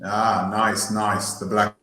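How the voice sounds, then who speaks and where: A man hosting a live call-in stream, speaking hesitantly with many pauses and "um"s. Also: lowish voice, little pitch movement, pushed and bright, echoey room. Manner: hesitant, lots of "um" and restarts.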